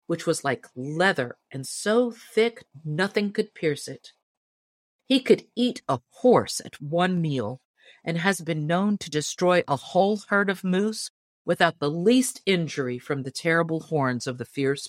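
A woman's voice: low-pitched, animated, measured pace, no room sound. Woman reading a tall tale, close and dry. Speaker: audiobook reader and storyteller. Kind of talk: scripted storybook narration of a tall tale.

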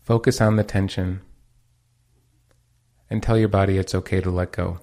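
A man leading a guided meditation as its teacher, giving calm, unhurried instructions. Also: sits low.

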